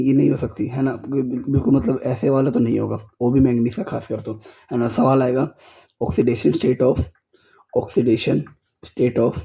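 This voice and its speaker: A man with an Indian accent and a sore, husky throat teaches an exam-prep chemistry class, repeating points and checking understanding. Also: mid-range voice, mellow. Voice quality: husky, gravelly. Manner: repetitive, checks understanding often, spells out words slowly. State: sore throat, frequent throat clearing. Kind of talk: exam-prep lesson with rhetorical questions.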